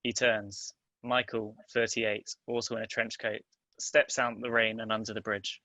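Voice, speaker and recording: A man reading stage directions as scripted dramatic narration, in a measured, terse and deliberate way. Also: on the low side, flat delivery, sharp tone, no echo.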